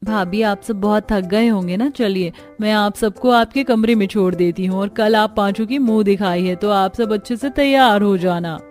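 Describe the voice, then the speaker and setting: A woman's voice: mid-range voice, normal speed, hardly any pauses, a little mellow, lightly acted. A woman voicing a kind, helpful young sister-in-law in a cartoon story. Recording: music under the voice.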